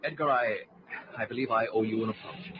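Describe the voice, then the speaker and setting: An actor playing a tormented, jealous artist with a halting, overwrought delivery in an old-time radio drama. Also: male voice, medium pitch, fairly even intonation, close to an everyday voice. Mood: anguished confiding. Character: tormented, jealous male artist, brooding and short-tempered. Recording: muffled, noisy old radio recording.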